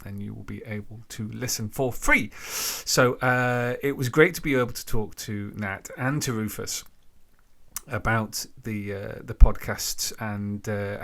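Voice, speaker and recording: A man hosting a podcast, giving an informal introduction in a halting way, thinking aloud between phrases. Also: lowish voice, creaky, a bit bright. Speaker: podcast host.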